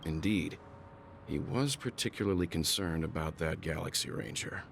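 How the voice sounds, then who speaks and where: A man voicing a grave, analytical older game character with a measured, deliberate delivery. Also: a bass voice, gravelly, noisy background. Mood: grave, thoughtful. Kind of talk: video game voice acting.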